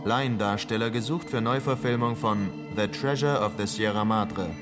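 A man's voice: fairly low pitch, normal speed, natural voice. A man playing an announcer who formally reads out a small advertisement in a radio play. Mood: formal, neutral. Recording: 1970s radio play with music behind.

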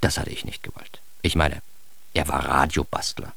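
A man's voice: rumbling low, little pitch movement, moderate pace, creaky, a bit bright, slightly rough, dry recording, exaggerated voice. An actor playing a grown son narrating his embarrassed adolescence in a radio drama, with an ironic, mock-formal, theatrically indignant delivery. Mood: wry, confessional.

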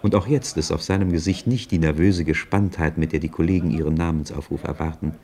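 A man's voice: a bass voice, fairly even intonation, fairly quick, dark tone. An actor playing a knowing male narrator in a radio play, conversational and wry as he follows his hero. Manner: conversational, wry, present-tense commentary. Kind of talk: scripted radio drama narration.

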